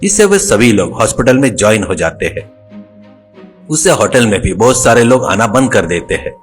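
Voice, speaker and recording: A man's voice: on the low side, sing-song, normal speed, soft background music. A man telling a comic story as its narrator.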